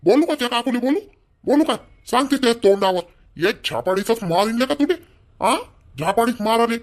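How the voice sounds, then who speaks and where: A man's voice: very high voice, animated, normal speed. A man voicing a stern, exasperated village father in a cartoon, scolding in Vidarbha Marathi with repeated rhetorical questions. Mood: angry scolding.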